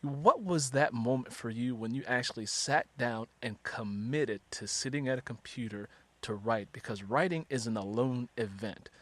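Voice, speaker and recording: A man's voice: medium pitch, normal speed, no room sound. A man hosting a conversational interview, talking at length and rephrasing his thoughts as he goes.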